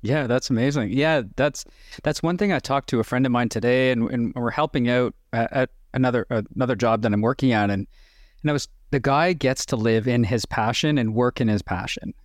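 A man hosts a podcast in a chatty, enthusiastic way and is quick to agree. His voice sits at a middle pitch. The close mic sounds dry. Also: dry recording. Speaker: podcast host. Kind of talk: casual podcast interview.

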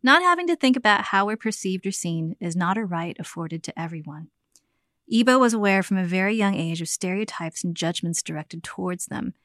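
A woman's voice: fairly low pitch, expressive, a little fast, a bit bright, no room sound, studio quality. A woman with an American accent hosting a podcast, moving between scripted narration and conversational interviewing.

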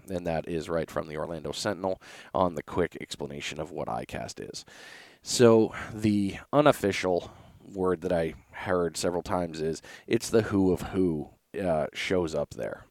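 A man hosting a casual solo podcast, a bit raspy from a recent illness, talking in a laid-back, rambling way with lots of uhs. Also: sits low, no room sound, studio quality. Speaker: podcast host.

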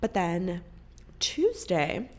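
A woman's voice: on the low side, wildly swooping pitch, drawn-out words. A young woman hosting a podcast, chatting casually and rambling, swearing freely, and sounding congested. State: congested, coughing. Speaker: podcast host. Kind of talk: casual chat.

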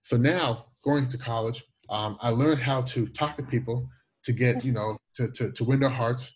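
Brief: Jamaican-accented man, 40s, husky, slight lisp, animated storyteller who restarts his words. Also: mid-range voice, moderate pace, low-quality recording. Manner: animated, repeats words and restarts, laughs easily. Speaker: guest. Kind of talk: conversational storytelling interview.

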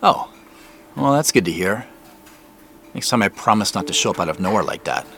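An actor playing an awkward, apologetic man in an audio drama, speaking in a halting, naturalistic way. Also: fairly low pitch, sing-song, gravelly. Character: awkward, apologetic man investigating a family mystery.

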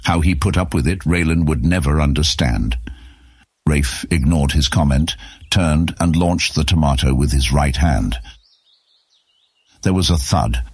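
Very deep, husky male storyteller. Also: unhurried, pauses often, no echo, clean studio sound. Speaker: audiobook narrator. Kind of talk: scripted fantasy story narration.

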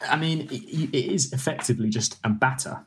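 A man's voice: mid-range voice, a little fast, background noise. A young man, a food editor and ex-baker, explaining casually with lots of "like" and restarts. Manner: rambling, hedging with "like" and restarts.

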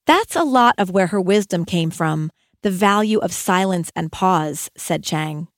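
A woman educator reading her own audiobook as scripted narration, with measured, emphatic phrasing and deliberate pauses. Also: lowish voice, lively intonation, dry recording, clean studio sound.

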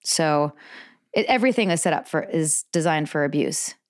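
A woman's voice: low voice, expressive, moderate pace, no echo, studio quality. A woman co-hosting a podcast in casual conversation, reflective and hedging as she talks. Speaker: podcast co-host.

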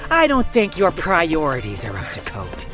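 A man's voice: on the high side, huge pitch swings, normal speed, over music. A man playing a sarcastic, exasperated young adventurer in a fantasy audio drama.

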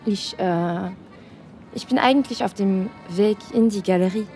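Mellow young French woman, played by an actress. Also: medium pitch, measured pace, soft background music, close to an everyday voice. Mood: inviting. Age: young adult. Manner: casual, hesitant, searching for German words. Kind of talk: intimate audio drama acting.

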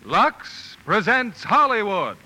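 A man's voice: sits high, very animated, slow, heavy background noise. A man announcing the opening of a 1950s radio show, grand and proclaiming. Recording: vintage radio broadcast over loud music.

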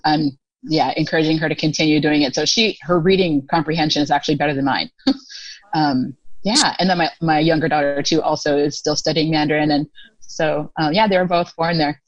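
A woman's voice: sits low, steady tone, brisk, no room sound, muffled recording. An American woman in her thirties telling stories as a podcast guest, talking casually with lots of fillers and laughs. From United States. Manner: chatty, many fillers, laughs often. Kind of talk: casual storytelling interview.

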